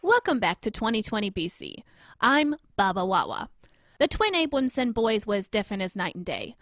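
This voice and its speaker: A woman playing an earnest, probing TV newsmagazine anchor in an audio drama, with measured, dramatic narration. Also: medium pitch, big pitch swings, a bit bright. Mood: grave, intrigued. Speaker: host.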